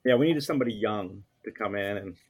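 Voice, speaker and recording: A man's voice: on the low side, quick pace, breathy edge. A middle-aged man talking casually as a guest on a podcast. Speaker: podcast guest and co-host of a geek podcast. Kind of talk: casual chat.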